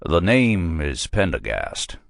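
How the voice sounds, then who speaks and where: A man voicing a soft-spoken federal agent with formal, unhurried manners. The voice sits low, and the sound is close and dry. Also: very animated, creaky, no echo, exaggerated voice. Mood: courteous.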